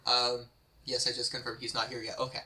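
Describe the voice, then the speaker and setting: Bright, forceful man in casual commentary. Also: mid-range voice, completely flat delivery, brisk. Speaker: table read host and reader. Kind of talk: amateur script table read with casual commentary.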